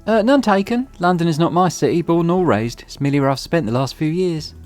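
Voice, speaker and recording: A man's voice: a little high, animated, fairly quick, darkish tone, faint music behind, voice pushed up, natural voice. A man voicing a reserved, wry young woman who speaks in formal, clipped Victorian phrasing.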